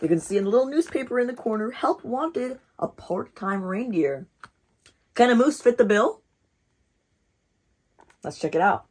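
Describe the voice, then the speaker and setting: A woman reading a picture book aloud as storytime host, expressive and encouraging, with rhetorical questions and asides. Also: on the high side, a little fast, long pauses.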